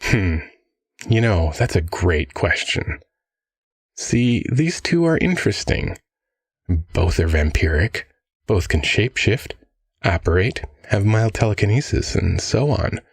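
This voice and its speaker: A man's voice: lowish voice, big pitch swings, a little slow, breathy edge, dry recording, studio quality, heavily acted. A man playing a rich, affable but menacing crime-boss father in an ASMR audio drama, breezy, doting and casually threatening. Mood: musing, smug.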